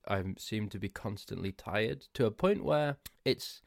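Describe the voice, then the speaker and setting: Congested man with a low voice. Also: measured pace, gravelly, no room sound, studio quality. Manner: rambling, self-mocking, laughs often. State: congested, losing his voice. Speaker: podcast host. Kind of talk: solo podcast chat.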